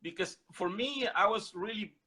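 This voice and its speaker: A man's voice: sits high, normal speed, sharp tone, husky. A man from Mexico, hosting a casual talk show, chatty and prompting his guest with questions. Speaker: host.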